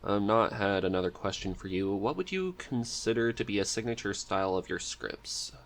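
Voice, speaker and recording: A man with a fairly low voice hosts a relaxed podcast conversation. He talks casually and jokingly, with a giggly streak. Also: moderate pace. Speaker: podcast host. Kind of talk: casual interview chat.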